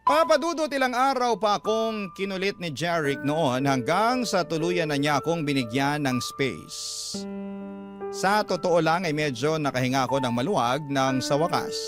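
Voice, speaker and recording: A man playing a candid letter writer, narrating a failed romance in a measured, confessional radio drama style. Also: a little high, close to an everyday voice. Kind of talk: scripted first-person narration in radio drama.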